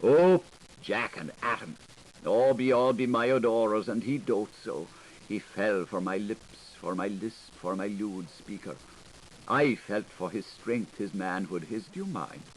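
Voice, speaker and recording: A man's voice: fairly low pitch, measured pace, close to an everyday voice. A man voicing a coquettish young girl, noisy tape. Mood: coy, gushing. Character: coquettish, gushing young Irish girl writing love letters. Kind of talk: audiobook character reading of literary fiction. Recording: hissy 1980s audiobook recording.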